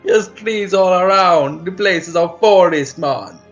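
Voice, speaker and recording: A man's voice: high-pitched, measured pace, dull audio, faint music behind, voice pushed up. A man voicing a friendly, chatty lizard folk islander in a put-on Jamaican accent for a tabletop game. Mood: cheerful, proud. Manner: laid-back, chatty, says "man" and "you know". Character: friendly lizard folk islander who loves whiskey and sunshine.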